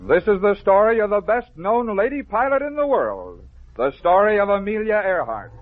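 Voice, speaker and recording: An American man narrating a scripted story for children as a 1950s radio host, phrasing it clearly and dramatically. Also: high-pitched, sing-song, normal speed. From American Midwest. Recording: dull, noisy vintage 1950s radio recording.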